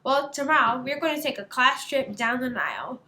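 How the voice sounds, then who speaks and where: Woman as a brisk ibis schoolteacher, high-pitched. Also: sing-song, pushed and bright, reverberant, heavily acted. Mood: instructive, inviting. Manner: clear, instructive classroom address. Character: brisk female ibis schoolteacher, orderly and instructive.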